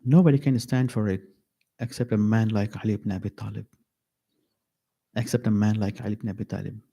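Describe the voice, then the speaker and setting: A man giving a mourning sermon at an Islamic center, slow and emphatic, repeating key phrases with pauses. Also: lowish voice, fairly even intonation, mellow.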